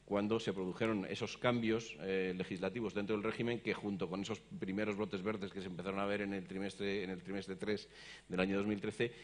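Deep-voiced middle-aged man moderating a panel. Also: flat delivery, brisk. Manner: hesitant, many repeated words and fillers. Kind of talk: explanatory panel talk. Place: conference stage, seated in an armchair.

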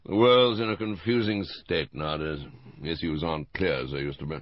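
A man's voice: rumbling low, fairly quick, no room sound, muffled recording. Man playing a blunt, avuncular British colonel. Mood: bluff, avuncular. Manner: blunt, teasing, emphatic. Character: gruff, avuncular older British colonel, a needling intelligence chief.

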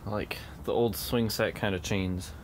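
A man's voice: lowish voice, steady tone, measured pace, noisy background. A young man casually vlogging, grumbling to the camera while searching a cluttered shed and heading out in the rain, filmed at arm's length. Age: young adult. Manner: laid-back, grumbling asides to camera. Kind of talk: casual vlog chat. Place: cluttered storage shed, then outdoors in rain. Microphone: handheld camera at arm's length. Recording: loud background music.

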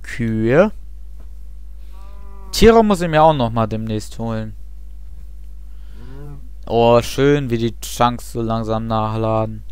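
A man's voice: mid-range voice, slow, long pauses, a little mellow, clean tone, no room sound. A young man chatting casually and rambling with self-mocking asides while he plays a game. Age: young adult. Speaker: let's play host. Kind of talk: casual gameplay commentary.

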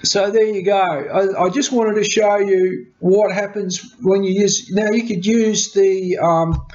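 A man's voice: sits high, unhurried, soft background music. Chatty man with a cold. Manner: chatty, repeats words, animated hand gestures. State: has a cold. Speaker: tech vlogger. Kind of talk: casual rambling explanation to camera. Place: small cluttered home workshop room. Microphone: webcam at desk. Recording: dull lo-fi webcam audio.

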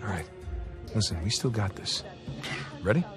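A man's voice: fairly low pitch, lively intonation, normal speed, over music, natural voice. A man in his thirties acting an eager, cocky younger treasure hunter in a cinematic video game, with casual, persuasive delivery. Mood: focused, brisk. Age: 30s.

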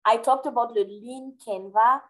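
A woman's voice: a little high, normal speed, a bit bright. A woman with a French accent, a native French speaker, answering questions in a live webinar over a video call with many fillers. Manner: explanatory, hesitant fillers, friendly. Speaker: webinar presenter. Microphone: webcam on video call.

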